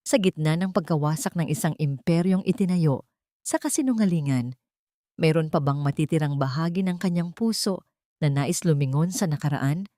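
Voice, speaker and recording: A woman with a Filipino accent narrates a dramatic love story from a script. Her voice sits slightly low for a woman, and the recording is dry and close, without room sound. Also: normal speed, warm tone, no room sound.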